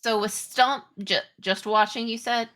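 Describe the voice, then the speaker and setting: A woman game master improvising narration and rules in a halting, conversational way. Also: a little high, fairly even intonation, fairly bright tone. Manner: halting, conversational, pieced-together descriptions. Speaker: game master of a tabletop roleplaying game.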